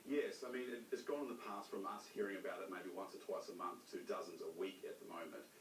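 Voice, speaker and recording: A man's voice: low voice, fairly even intonation, fast, roomy sound, recorded from a distance, slight background noise. A man speaking as a company spokesman, giving plain-spoken, explanatory advice in an interview.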